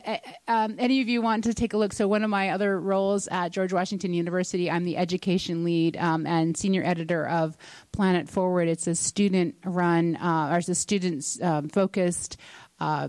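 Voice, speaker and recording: A woman educator and editor speaking at a panel in a loose, conversational way with pauses and restarts. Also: fairly low pitch, darkish tone, no echo. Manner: conversational, many fillers and restarts. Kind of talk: panel talk.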